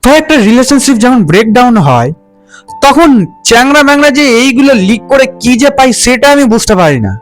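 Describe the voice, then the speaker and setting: A man hosts a roast commentary in a strikingly high voice over a prominent music bed. He teases sarcastically and leans on crude slang. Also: sing-song, a little fast. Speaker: roast video host. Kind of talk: mocking meme-review roast commentary.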